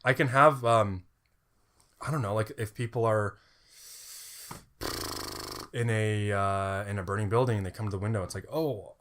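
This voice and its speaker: A man's voice: on the low side, normal speed, pauses often, noisy background. A young man co-hosting a casual podcast, rambling with lots of fillers and repeated words. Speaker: podcast co-host. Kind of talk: casual chat.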